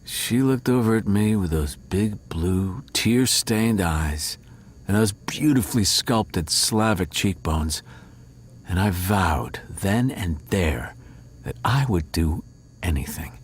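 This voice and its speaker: Audio drama narrator: wry tomcat, lowish voice, lively swings, dramatic noir delivery. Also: male voice, slow, breathy edge, exaggerated voice. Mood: smitten, dramatic. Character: male cat narrator, wry, smitten, noir detective-story storyteller.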